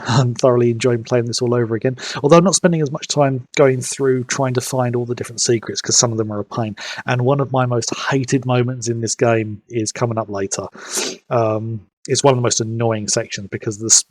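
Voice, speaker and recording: A man, sniffly from hay fever, chatting casually as he streams a game and recaps the story. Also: medium pitch. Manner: rambling, fills pauses with "um". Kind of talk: casual live-stream commentary.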